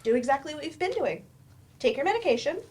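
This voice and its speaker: Woman acting a high-voiced, supportive therapist. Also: very animated, fast talker, darkish tone. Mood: advising, caring. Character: measured, supportive female doctor, a therapist. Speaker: actor in a staged play reading. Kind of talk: stage play reading. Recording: noisy room at live staged reading.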